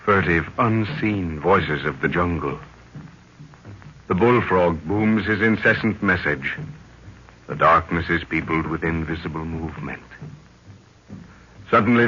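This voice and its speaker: A man's voice: low voice, pushed and bright. A man narrating a 1930s radio adventure in a grandiose, theatrical style. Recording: muffled, hissy old 1930s radio recording.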